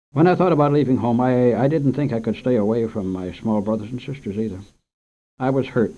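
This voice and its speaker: Man reading a children's story on old radio. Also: mid-range voice. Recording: muffled old radio recording.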